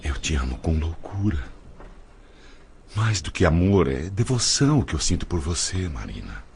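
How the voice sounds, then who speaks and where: A man's voice: low voice, big pitch swings, normal speed, creaky, darkish tone, a little hiss or hum, full character voice. An actor playing an ardent, middle-aged married man wooing his lover in an audio drama, romantic and persuasive. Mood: devoted, passionate. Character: ardent older married man, smooth-talking suitor.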